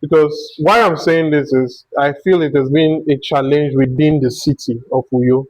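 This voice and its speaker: A man's voice: on the high side, steady tone, moderate pace, darkish tone, no echo, low-quality recording. A man with a Nigerian accent hosting a conversational interview, thinking aloud in long, winding turns. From Akwa Ibom, Nigeria. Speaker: interview host.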